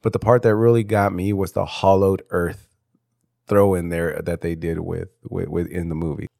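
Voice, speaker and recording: A man's voice: deep voice, little pitch movement, moderate pace, gravelly, mellow, no echo, clean studio sound. Stuffy-nosed man rambling on a podcast. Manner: rambling with many restarts and casual swearing. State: congested, stuffy nose. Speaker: podcast host. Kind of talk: casual solo podcast monologue.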